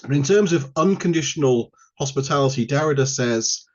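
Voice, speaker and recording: A man's voice: medium pitch, brisk. Male lecturer, dull online-call audio. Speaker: university lecturer, guest speaker. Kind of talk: informal academic online talk.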